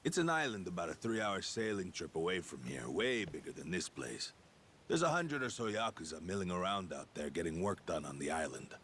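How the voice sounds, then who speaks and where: Game role: amiable, persuasive bar regular, low voice, lively intonation. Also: male voice, brisk, slight background noise, heavily acted. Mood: informative. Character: friendly, persuasive male bar regular who fishes. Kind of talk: English video game voice acting.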